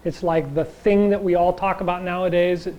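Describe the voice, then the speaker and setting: A man teaching a chi gung and tai chi class in a loose, rambling way, with a slightly raspy voice and frequent check-ins with his listeners. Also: high voice, fairly even intonation, normal speed, highs cut off. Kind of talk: casual class talk.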